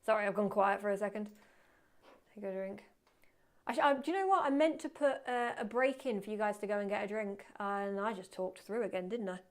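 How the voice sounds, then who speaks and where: A woman teaching photography in a live webinar, chatty and self-deprecating, muttering quietly to herself as she works at her computer. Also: mid-range voice, brisk. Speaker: photography instructor. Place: indoors at a computer.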